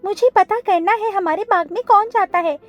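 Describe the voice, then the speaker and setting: Lady doing a bossy girl butterfly cartoon voice, super high and insistent, bit of music under it. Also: normal speed, no room sound. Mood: stern. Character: determined female butterfly, bossy protector of her garden. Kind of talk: cartoon story character voice.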